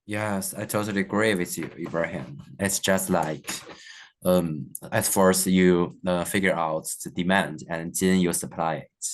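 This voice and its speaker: 18 yo guy, low voice, hesitant with lots of uh's. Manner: hesitant, many "uh" pauses. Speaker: student panelist. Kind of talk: student podcast discussion.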